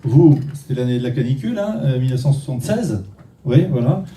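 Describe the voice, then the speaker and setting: A man working the stage as host of an awards ceremony, with a deep, dark and mellow voice. He talks loosely and jokingly, often starting a phrase again. The sound is roomy and distant, with faint music behind him. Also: animated, a little fast. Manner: chatty, loose and jokey, with frequent restarts. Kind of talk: live stage hosting. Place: large event hall. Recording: echoey hall, mic at a distance, faint music.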